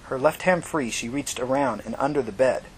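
A man's voice: animated, moderate pace, gravelly. A man narrating dramatic fiction as an audiobook reader. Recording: noisy recording with low rumble.

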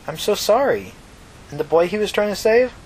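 A man's voice: high-pitched, big pitch swings, moderate pace, a little mellow, slight background noise, pitched above the performer's own voice, rough recording. A man voicing an earnest, inquisitive young woman in a story reading, blunt yet sympathetic.

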